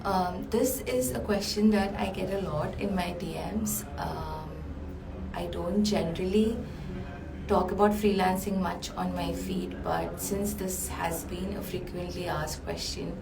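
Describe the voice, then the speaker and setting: Hoarse woman hosting a live session. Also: lowish voice, fairly even intonation, a little slow, music in the background. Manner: warm, chatty, many ums and uhs. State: hoarse and tired. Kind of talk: live-streamed talk. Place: small cold cabin. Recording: roomy, far from mic, noisy.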